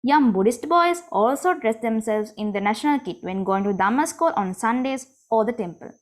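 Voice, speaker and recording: A woman giving a prepared class presentation, reading clearly and steadily. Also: a little high, lively intonation. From Sri Lanka. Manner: reads from a script, clear and measured. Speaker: student presenter.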